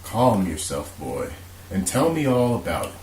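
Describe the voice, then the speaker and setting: Man playing a low-voiced, authoritative police commissioner. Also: big pitch swings, measured pace, a little mellow, exaggerated voice. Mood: businesslike. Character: authoritative middle-aged police commissioner, steady, businesslike. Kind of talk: amateur radio drama acting. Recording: roomy echo, faint hiss.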